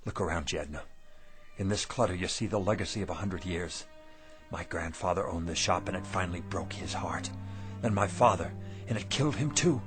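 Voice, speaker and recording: A man's voice: low voice, fairly quick, music in the background, close to an everyday voice. A man acting in a radio drama as a weary, stubborn, embittered shopkeeper husband, plain-spoken and emphatic. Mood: bitter, despairing.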